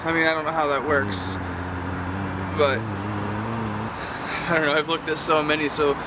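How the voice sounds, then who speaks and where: A man's voice: on the high side, normal speed. A man chatting casually to his vlog in a rambling, self-correcting way. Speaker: vlogger. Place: mostly outdoors in a yard and neighborhood. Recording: noisy outdoor recording with car and street ambience.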